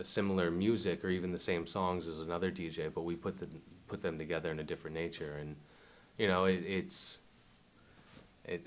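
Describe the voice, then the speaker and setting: A very low-voiced man in a relaxed documentary interview. He talks in a loose, rambling way, full of fillers and restarts, the tone of someone chatting easily about his work. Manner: laid-back, rambling with many fillers. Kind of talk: casual interview.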